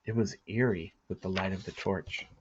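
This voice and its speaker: A man reading a storybook aloud as a dramatic narrator, with deliberate, theatrical phrasing and a casual touch. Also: sits low, muffled recording, a little hiss or hum. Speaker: storybook reader.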